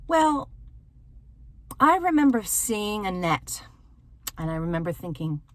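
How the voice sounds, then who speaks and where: A woman hosting a casual storytelling vlog from inside a parked car, animated and giggly, acting out bits of dialogue. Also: medium pitch, slow. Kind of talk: casual personal storytelling to viewers.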